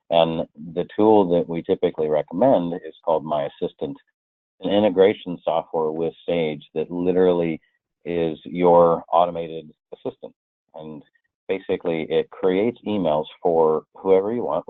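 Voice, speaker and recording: A man presenting a software training webinar, explaining slide by slide in a conversational way with hypothetical examples. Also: deep voice, dry recording. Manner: explanatory, illustrates with hypothetical quotes. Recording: muffled, tinny phone-like line.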